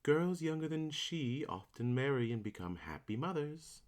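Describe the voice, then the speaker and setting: A man's voice: fairly high pitch, sing-song, normal speed. A man playing a courteous, earnest young noble suitor in an audio drama. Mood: courteous, eager. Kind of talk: audio drama acting of modernized Shakespeare.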